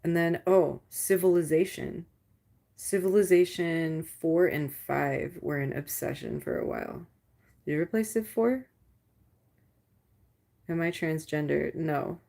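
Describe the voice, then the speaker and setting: A young adult woman with a low voice, chatting casually with viewers on a livestream, rambling and swearing with lots of "like". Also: unhurried, creaky, pauses often, mellow. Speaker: livestream host.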